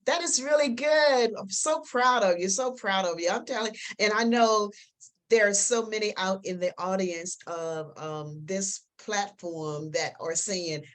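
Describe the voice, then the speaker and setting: Woman, mid-pitched voice, interview host, affirming, exclaiming. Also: measured pace, a bit bright. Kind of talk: casual interview.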